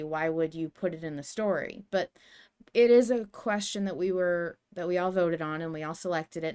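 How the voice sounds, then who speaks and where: A woman's voice: on the low side, clean tone. A woman co-hosting a podcast in casual discussion, hesitant and self-deprecating as she talks. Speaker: podcast co-host.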